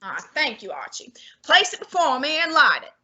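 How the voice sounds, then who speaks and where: A woman playing a portly, imperious male detective genius in a student radio drama, measured and condescending. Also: high voice, huge pitch swings, pushed and bright, lo-fi sound, exaggerated voice. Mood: commanding. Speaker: student actor.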